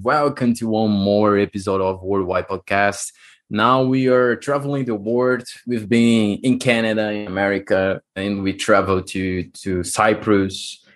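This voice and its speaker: A young Brazilian man hosting a casual podcast chat over a video call, loose and exclamatory, swearing and laughing often. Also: on the low side. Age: young adult. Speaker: podcast host.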